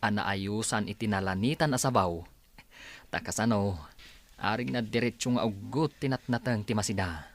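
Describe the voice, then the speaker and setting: A man playing a humble, struggling working husband, low-key, in a radio drama. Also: normal speed, no room sound, lightly acted. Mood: grumbling.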